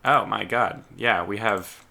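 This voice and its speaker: A man's voice: on the low side, takes it slowly, creaky, sharp tone. A man losing his voice, talking to himself in a casual let's play of a strategy game with keyboard typing behind him. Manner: muttering to himself while playing. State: losing his voice, clearing his throat. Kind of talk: casual gameplay commentary.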